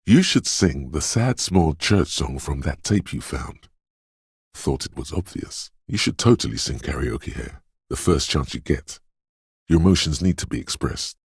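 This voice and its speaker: A man voicing a brooding, dreamlike inner voice of intuition in a video game, speaking in a slow, hushed, portentous and theatrical way. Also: animated, dry recording, clean studio sound, heavily acted. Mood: earnest, melancholy. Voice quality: very deep, husky, gravelly whisper-edged rumble. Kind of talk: dramatic video game voice acting. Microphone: close, dry studio microphone.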